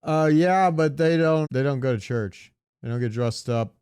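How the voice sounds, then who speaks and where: Clear-voiced man in casual joking podcast chat. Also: on the high side, normal speed, a little mellow, no room sound. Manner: profane, joking. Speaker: podcast co-host. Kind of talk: casual comedic podcast chat, reading out answers.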